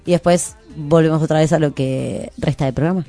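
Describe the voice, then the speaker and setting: A woman's voice: low voice, fairly even intonation, brisk, warm tone. A woman with an Argentine Rioplatense accent hosting a radio show in a casual, rambling, playful chat. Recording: background music. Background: music.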